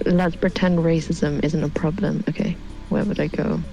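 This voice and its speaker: A podcast guest chatting casually, indecisive and quick to laugh. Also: mid-range voice, little pitch movement, gravelly, mellow, soft background music, low-quality recording. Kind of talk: casual chat.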